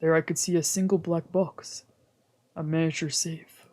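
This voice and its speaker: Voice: medium pitch, little pitch movement, moderate pace, a little mellow. Streamer reading a visual novel aloud in first person, with whispered asides; mid-range voice. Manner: reads narration in first person, translating lines, with whispered asides. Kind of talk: reading game narration aloud.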